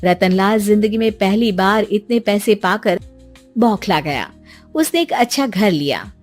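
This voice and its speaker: A woman's voice: mid-range voice, animated, measured pace, faint music behind. A woman reading scripted story narration as a storyteller for a cartoon tale.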